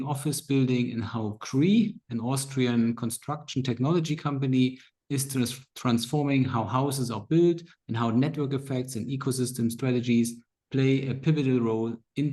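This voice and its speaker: A man's voice: mid-range voice, mellow. A man hosting a business podcast, introducing his guest with enthusiasm. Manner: enthusiastic, repeats "really". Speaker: podcast host.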